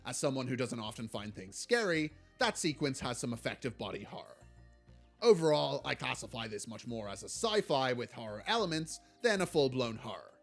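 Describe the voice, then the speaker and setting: A man giving a scripted video essay review as a film critic, in a measured, articulate and analytical way. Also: mid-range voice, very animated, gravelly. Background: background music.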